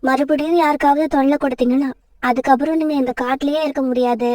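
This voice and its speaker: A woman's voice: high voice, fairly even intonation, fast talker, a little mellow, dry recording, close to an everyday voice. A woman voicing a principled, firm mother sparrow in a children's cartoon story. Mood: stern warning.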